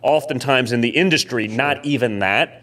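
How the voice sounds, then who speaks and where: A man's voice: mid-range voice, normal speed, sharp tone. A man co-hosting a podcast, chatting casually in a blunt, informal way. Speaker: podcast co-host. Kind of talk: casual chat.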